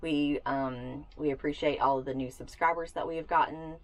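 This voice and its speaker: A woman's voice: deep voice, fairly even intonation, normal speed. A woman co-hosting a camper vlog, chatting casually to camera from inside a small camper, with lots of fillers and restarts. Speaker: co-host of a camper solar install channel.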